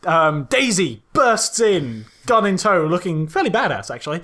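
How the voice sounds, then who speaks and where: A man's voice: high-pitched, very animated, moderate pace, fairly bright tone. A man co-hosting a podcast in casual film critique banter, hesitant and often repeating his phrases. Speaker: podcast co-host.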